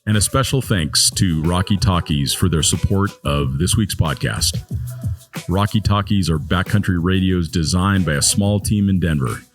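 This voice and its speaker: Brief: low-pitched male podcast host, friendly interviewer, faint music underneath. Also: normal speed. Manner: friendly, brief affirmations while listening.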